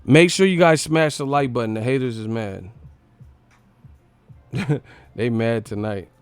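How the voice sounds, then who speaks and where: A man's voice: mid-range voice, a little slow, smooth, dry recording, soft background music. Blunt man talking boxing, dry close sound. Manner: blunt, opinionated, slangy, swearing. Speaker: livestream host, boxing commentator. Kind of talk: casual unscripted livestream fight breakdown.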